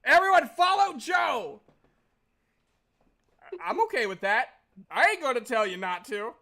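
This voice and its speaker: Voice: sits high, sing-song, a little slow, gravelly, pushed and bright. A game master hosting a livestream, chatting casually and recapping a tabletop game in an informal, sweary way. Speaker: game master and stream host.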